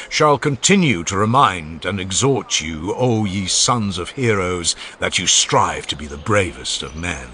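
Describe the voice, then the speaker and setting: A man narrates in a grave, oratorical style, with a lively pitch that swells and drops through long sentences. His voice is on the low side but bright and forward. A faint hum of ambience sits under the reading. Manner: solemn, declamatory, rising and falling in long oratorical phrases. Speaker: audiobook narrator. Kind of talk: dramatized reading of a classical funeral oration.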